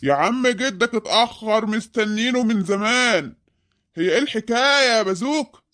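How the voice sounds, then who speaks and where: A man's voice: high-pitched, lively intonation, normal speed, a bit bright, no echo, studio quality. A man voicing a grumpy, impatient, demanding neighbour in a comic cartoon, with an Egyptian accent and a complaining, confrontational manner.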